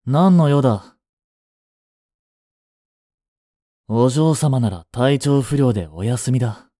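A man's voice: mid-range voice, lively intonation, measured pace, dark tone, no echo, studio quality. A man playing a devoted, jealous young butler in a voice drama, with formal, clipped, haughty speech. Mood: scornful, threatening. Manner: formal, polite, clipped, turning contemptuous toward a rival. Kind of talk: Japanese voice drama acting.

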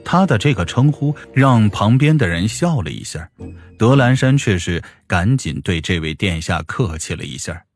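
A man's voice: on the low side, expressive, normal speed, no echo. A man narrating a scripted audiobook story. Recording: music behind the voice.

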